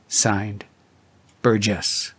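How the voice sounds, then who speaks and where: A man voicing an earnest, disgraced clergyman's letter in an audiobook, solemn, formal and measured. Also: fairly low pitch, little pitch movement, a bit bright, breathy edge, dry recording, pitched below the performer's own voice, full character voice. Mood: solemn, grateful.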